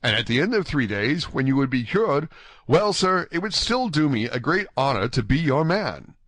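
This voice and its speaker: Male reader as bold young storybook gallant: higher-pitched, earnest, very polite, animated intonation. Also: normal speed, no echo. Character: eager, courteous, bold young Gascon gentleman. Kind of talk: audiobook character dialogue.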